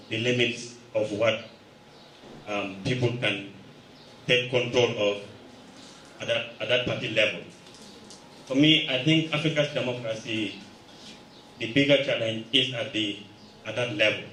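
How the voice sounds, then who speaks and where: A man's voice: lowish voice, a bit bright, slight background noise. A man from the audience at a round table, asking a long question with many hesitations and restarts. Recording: echoey room, picked up from a distance.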